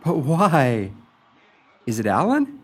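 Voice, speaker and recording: A man's voice: on the high side, huge pitch swings, slow pace, breathy edge, exaggerated voice. An actor playing a nervous, lovesick, agreeable young man in a radio play, earnest and stammering. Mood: pleading.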